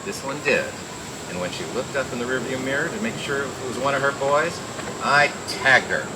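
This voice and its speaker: Cool wisecracking gumshoe, male actor, medium pitch, noir radio narration with clear background noise. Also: normal speed, close to an everyday voice. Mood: wry, cool. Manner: wry, cool, slangy. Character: wisecracking hard-boiled male private detective narrator. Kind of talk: old-time radio noir narration and acting. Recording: noisy old radio drama recording.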